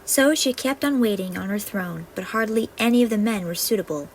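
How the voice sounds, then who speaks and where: A woman's voice: mid-range voice, animated, a little fast. A woman storyteller reading a scripted fairy-tale narration. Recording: faintly noisy home recording.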